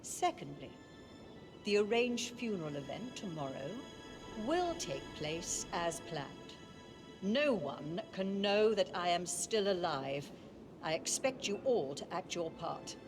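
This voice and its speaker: An elderly woman who rules an elite family, her voice on the low side. She is cold, curt and formal, giving orders as in a cinematic game, with clear music behind her. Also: big pitch swings, slow pace, exaggerated voice. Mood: stern, composed. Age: older. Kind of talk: cinematic video game acting.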